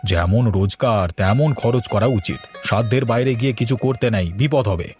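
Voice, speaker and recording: A man's voice: mid-range voice, moderate pace, a little mellow, soft background music, natural voice. A man voicing a sensible, friendly older-brother neighbour in a cartoon, giving familiar advice. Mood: sage, friendly. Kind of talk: Bengali cartoon voice acting.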